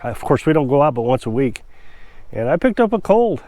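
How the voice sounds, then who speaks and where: A man's voice: mid-range voice, big pitch swings, fairly quick, warm tone, no room sound. A man with a stuffy, congested voice, talking casually and opinionatedly to the camera in a rambling vlog. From Missouri. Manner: chatty, rambling, self-assured. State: congested from a cold. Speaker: off-grid homestead vlogger.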